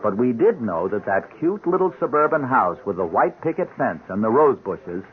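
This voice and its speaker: An actor playing an earnest, composed police sergeant in a radio drama, explaining things with urgency. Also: male voice, mid-range voice, sing-song, normal speed, close to an everyday voice. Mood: earnest, pleading. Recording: narrow-band hissy old radio recording.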